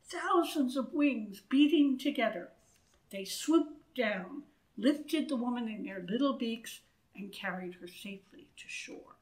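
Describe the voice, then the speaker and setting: A woman in her sixties reading a children's story aloud in an expressive, deliberate way, with asides to listeners, recorded indoors a few feet from the camera. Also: on the high side, rough recording. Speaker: storybook reader. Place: wood-panelled church room, indoors. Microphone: camera a few feet away.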